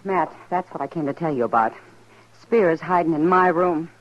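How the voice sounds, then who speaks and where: A woman's voice: sits low, normal speed, a little hiss or hum, natural voice. An actress playing a worried, tender-hearted woman of a frontier town in a 1950s radio western. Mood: uneasy. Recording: dull lo-fi old radio broadcast.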